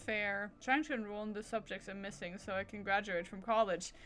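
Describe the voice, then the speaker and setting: A woman's voice: mid-range voice, steady tone, fairly quick, a bit bright. A woman streaming a video game with casual, chatty, playful commentary, often muttering to herself.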